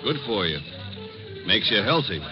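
Guarded American student suspect, low animated voice, falsely casual, muffled vintage radio drama. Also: male voice, a little slow. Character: evasive, coolly polite male college student suspect. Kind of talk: 1950s radio drama acting. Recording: dull old radio recording with music.

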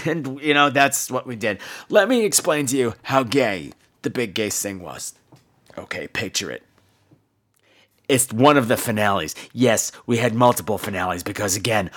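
A man hoarse from laryngitis, hosting a casual comedy podcast in a cheeky, theatrical way. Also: mid-range voice, a bit bright. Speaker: podcast host.

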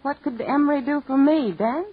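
An actress playing a world-weary, sardonic married theater woman in a 1950s radio crime drama, tart and evasive, with a husky voice. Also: high-pitched, moderate pace, close to an everyday voice. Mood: tart, guarded. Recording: muffled old radio recording.

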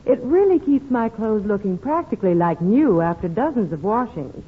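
An actress playing a chatty, thrifty housewife in a 1940s radio commercial, on a tinny old recording. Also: female voice, medium pitch, big pitch swings, normal speed, husky. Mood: chatty, pleased.

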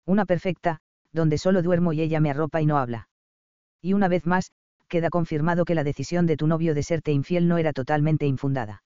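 A man's voice: fairly high pitch, fairly even intonation, a little fast, dark tone, smooth, no echo, close to an everyday voice. A man voicing a bossy, teasing young male doctor with dry, smug humor, read in a dull, dry recording. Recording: slightly dull dry audio.

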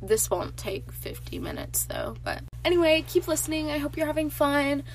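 A woman's voice: high-pitched, wildly swooping pitch, normal speed, a little hiss or hum, rough recording. A teenage girl hosting a casual solo podcast, nasal and congested from allergies, chatty, with lots of filler words. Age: teens. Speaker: podcast host.

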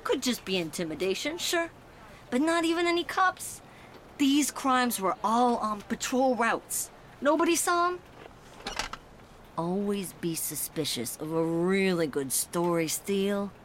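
Brisk female police detective played by a woman, mid-pitched and very expressive, dry, skeptical, matter-of-fact, for noir audio drama. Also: slight background noise, natural voice. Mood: skeptical, teasing. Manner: deadpan, matter-of-fact, teasing.

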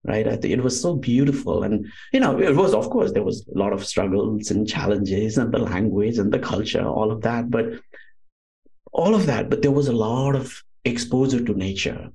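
A man's voice: lowish voice, expressive, normal speed, dark tone. A man from Nepal with a Nepali accent, talking conversationally as an interview guest, rambling and often saying "you know". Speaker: podcast guest, Ayurvedic doctor. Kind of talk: conversational personal storytelling.

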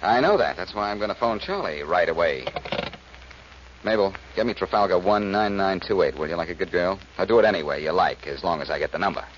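A glib, cool-headed sleuth from an old radio crime show. His voice sits fairly low and races along, tossing off sardonic quips. The sound is dull, with some hiss. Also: animated, brisk. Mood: cool, brisk. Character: wisecracking, cool-headed amateur sleuth on the run. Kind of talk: 1940s radio acting. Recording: muffled, noisy old radio recording.

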